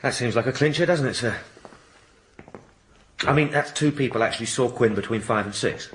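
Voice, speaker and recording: An actor playing a respectful but dogged police sergeant in a radio drama, gently challenging his superior. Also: mid-range voice, normal speed, slight background noise, lightly acted, rough recording. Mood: questioning.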